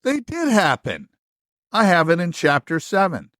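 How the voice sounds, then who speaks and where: Guy doing a dramatic worried history book puppet, kinda high voice, slow and drawn out, stagey. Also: huge pitch swings, fairly bright tone, no room sound, clean studio sound, heavily acted. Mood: indignant. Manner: melodramatic, exclaiming, drawn-out delivery. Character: dramatic, anxious old-fashioned history book puppet, male. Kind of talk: theatrical puppet musical acting.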